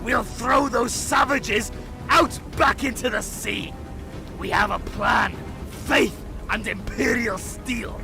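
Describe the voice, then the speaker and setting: A fierce, defiant knight captain in a fantasy audio drama. His voice is very high for a man, with a gravelly edge, delivered in slow, commanding oratory over music. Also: fairly even intonation, exaggerated voice. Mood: rousing, defiant. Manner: commanding, declamatory battlefield oratory.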